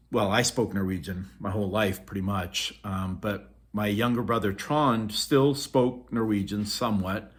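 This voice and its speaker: A man's voice: on the low side, fairly bright tone. A middle-aged man from Norway telling childhood memories in a rambling vlog, talking into a phone on a selfie stick, with many ums and restarts. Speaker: vlogger. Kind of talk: casual storytelling vlog.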